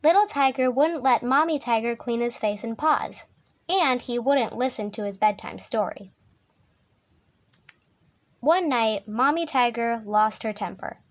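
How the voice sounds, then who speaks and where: A woman reading a children's picture book aloud in a soothing, expressive storytelling manner. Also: a little high, measured pace.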